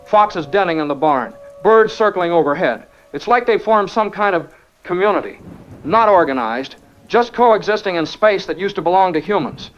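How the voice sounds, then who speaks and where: A man's voice: sits high, animated, normal speed. A man playing a resigned, sincere ham radio operator speaking solemnly in an apocalyptic radio drama. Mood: grim, wondering. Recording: dull radio sound, rain and music.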